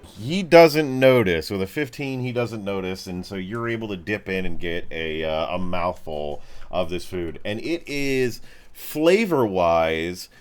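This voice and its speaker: A man narrating a tabletop game as game master, informal and halting as he searches for words. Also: sits low, animated, smooth.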